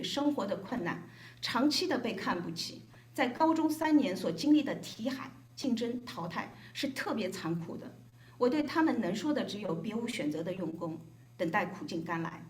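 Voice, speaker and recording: A woman from Shanghai, a volunteer reading a prepared, reflective speech at a gathering. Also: fairly high pitch. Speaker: volunteer speaker. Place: hotel event room. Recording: echoey event room.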